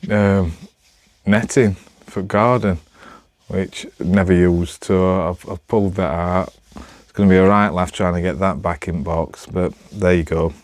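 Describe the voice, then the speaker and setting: Low-voiced Northern English man, halting. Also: steady tone, darkish tone, no echo. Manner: hesitant, halting, self-deprecating. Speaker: amateur vlogger. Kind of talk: casual rambling chat to camera.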